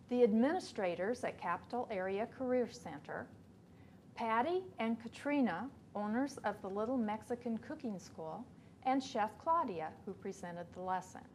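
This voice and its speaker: A woman in her fifties presenting an instructional webcast for teachers, reading a measured script in a small studio. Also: on the high side, slight background noise. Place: small studio before a backdrop.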